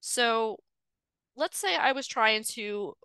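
A woman's voice: on the high side, expressive, takes it slowly, bright, forceful tone, smooth, dry recording. A woman genealogist giving a screen-share lecture, explaining step by step with frequent ums and uhs. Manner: explanatory, friendly, with frequent um and uh fillers. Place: indoors, voice over shared slides.

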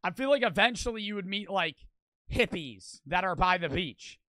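A man's voice: high voice, expressive, normal speed, bright, forceful tone, no echo. A man with a New Jersey accent, chatting bluntly and crudely while reacting to a video on a live stream. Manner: blunt, crude, self-mocking. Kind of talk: casual live-stream chat.

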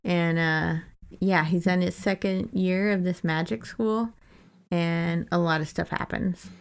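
A woman's voice: lowish voice, fairly even intonation, unhurried, clean tone. A woman co-hosting a podcast, chatting casually about books in an irreverent, freely swearing way. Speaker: podcast co-host. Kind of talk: casual book-discussion chat.

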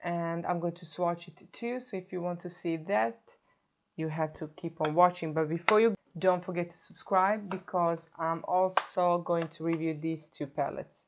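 A woman in her thirties talks close to the camera in a fairly low voice, a little hoarse from a sore throat. She chats casually, breaks off to correct herself, and walks through each product as she shows it. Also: fairly even intonation, moderate pace, background noise. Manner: chatty, self-correcting, stops to show products. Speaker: beauty vlogger. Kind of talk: casual makeup tutorial. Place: indoor home filming set.

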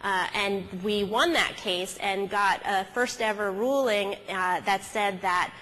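A woman delivers a conference keynote in a conversational storytelling style, her pitch sitting in the middle range. She often halts and corrects herself as she goes. Her voice rings with long reverb through a big auditorium. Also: slow, fairly bright tone, slight background noise. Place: large auditorium stage, at a podium. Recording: hall reverb from stage sound system.

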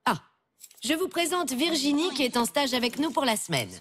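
A woman's voice: high voice, expressive, normal speed. A woman voicing a firm, patient primary school teacher in a French children's cartoon. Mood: instructive. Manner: instructive, firm. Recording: loud music behind the voice.

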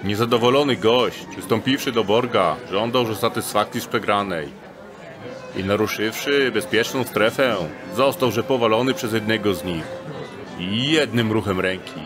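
A man's voice: lowish voice, normal speed, over music, a crowd in the background, natural voice. Man acting a pompous, affected rogue. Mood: grave. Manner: affected, archaic, pompous phrasing. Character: confident male thief posing as a pompous gentleman. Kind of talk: fantasy audio drama acting.

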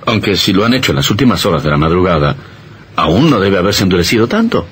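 An actor playing an affectionate, skeptical young newlywed husband in an old-time radio drama, by turns playful and soothing. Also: male voice, deep voice, sing-song, fast talker, a bit bright, breathy edge, heavily acted. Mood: reluctant, indulgent.

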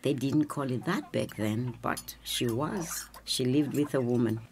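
Deep-voiced, wise South African grandmother. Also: a little slow, darkish tone, a little hiss or hum. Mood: calm, persuading. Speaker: actor. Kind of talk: radio drama acting.

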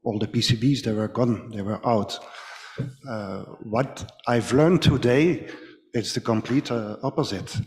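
A middle-aged man with a Belgian accent giving a conference presentation in halting English. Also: mid-range voice, darkish tone. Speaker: conference speaker, company manager. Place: large ornate hall, indoors. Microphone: podium microphone. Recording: echoey hall with long reverb.

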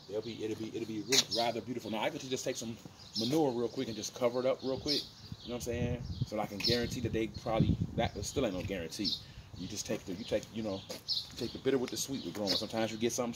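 An American man chatting casually and thinking aloud in a folksy, repetitive way as he vlogs his gardening. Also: mid-range voice. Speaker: home gardener vlogger. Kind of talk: casual rambling outdoor vlog chat. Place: outdoors in a backyard garden. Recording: noisy outdoor phone audio, uneven distance. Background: birds and outdoor ambience.

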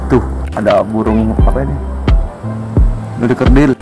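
A man's voice: mid-range voice, fairly even intonation, unhurried. A young man from Papua, Indonesia, narrating a casual birding vlog outdoors under a fruit tree, talking with enthusiasm into a handheld camera mic. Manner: enthusiastic, exclaims at what he finds. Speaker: birding vlogger. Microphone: camera mic, handheld selfie framing. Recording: background music under the voice.

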